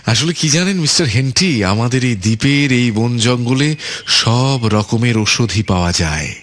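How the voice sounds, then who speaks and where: A man playing a softly polite but menacing island overlord in a radio drama, repeating his phrases with false courtesy. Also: mid-range voice, animated, measured pace. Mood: quietly menacing. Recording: music under the voice.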